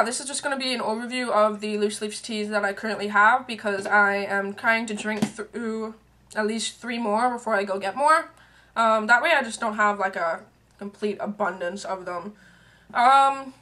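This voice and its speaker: Bright chatty young woman with a tiring voice. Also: on the high side. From Canada. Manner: rambling, lots of fillers and asides. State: voice worn and fading. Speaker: beauty and tea vlogger. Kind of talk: casual chatty vlog. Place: small room indoors. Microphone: webcam at arm's length.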